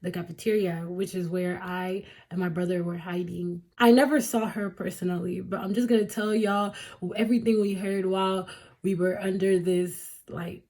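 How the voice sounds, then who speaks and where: A woman's voice: lowish voice, fairly even intonation, normal speed, darkish tone. A woman from Cameroon, a vlogger casually telling a story in a chatty, rambling way, often correcting herself and laughing.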